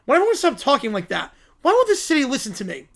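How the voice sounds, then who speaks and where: A man's voice: very high voice, wildly swooping pitch, fast talker, vocal fry, voice pushed up, heavily acted. A man voicing a bossy, spoiled little princess girl, haughty and indignant, in cartoon parody style. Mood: demanding.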